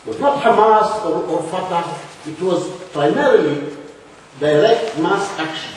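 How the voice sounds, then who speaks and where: An older man from Palestine speaks slowly and deliberately, with a rather high voice. He hesitates and restarts often as he lectures. The recording is distant and echoey, with a long hall reverb. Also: animated, slight background noise. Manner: measured, thoughtful, many hesitations and restarts. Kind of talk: public lecture.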